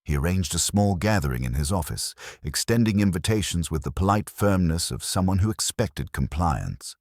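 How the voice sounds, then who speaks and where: A man narrating a scripted mystery story in a measured, formal storytelling manner. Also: low-pitched, expressive, darkish tone, dry recording, studio quality.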